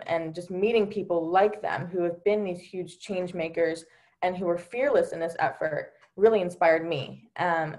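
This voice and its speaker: A woman's voice: on the low side, a little mellow. A young woman from Delaware giving an earnest personal account on a video call, with lots of ums and you-knows. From Delaware, USA. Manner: earnest, many fillers like um and you know. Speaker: panelist. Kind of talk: personal account on a virtual panel.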